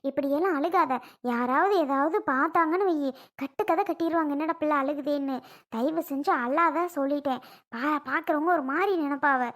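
A woman's voice: high voice, close to an everyday voice. A woman with a southern Tamil Nadu accent playing a worried, practical friend of a bride, coaxing and admonishing in a family drama. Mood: pleading. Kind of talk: village family drama acting.

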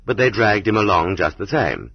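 A man reading an audiobook as scripted literary narration, in a measured, dramatic manner. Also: fairly low pitch, sing-song, husky, no room sound. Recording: slightly dull, muffled audiobook recording.

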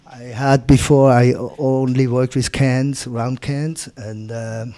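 A middle-aged man from Switzerland speaks very slowly and haltingly on a gallery panel. His voice sits at a medium pitch, and he holds a handheld mic. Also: level tone, warm tone. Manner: slow, halting. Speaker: panel artist. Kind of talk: panel discussion. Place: gallery room with audience.

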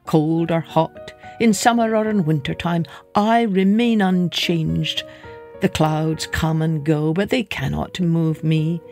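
A man voicing an ancient, towering stone statue that speaks slowly, solemnly and proudly. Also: a little high, steady tone, creaky, darkish tone, faint music behind.

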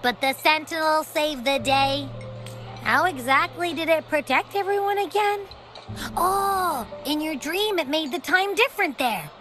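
Bright, high, boastful little creature. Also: female voice, measured pace, faint music behind. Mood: curious, chatty. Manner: boastful, whiny, exclamatory. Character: boastful, gluttonous, childlike little creature companion, protective and excitable. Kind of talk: video game voice acting.

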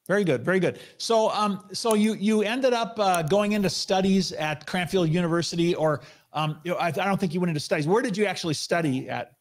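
A man's voice: high voice, a little fast. A man in his fifties hosting a conversational interview, speaking into a close broadcast microphone. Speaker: interview host. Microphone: broadcast microphone close in front.

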